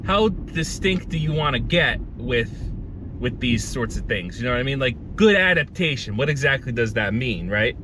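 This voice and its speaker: A man's voice: mid-range voice, lively intonation, normal speed, noisy background. A man chatting casually as a podcast co-host, circling back over his words as he reasons aloud.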